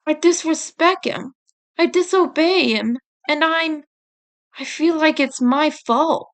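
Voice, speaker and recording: A blunt teenage turtle brother from a story, voiced by a woman, pitched high. He is guilt-ridden and awkward with feelings, and he speaks in unhurried lines. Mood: guilty, upset.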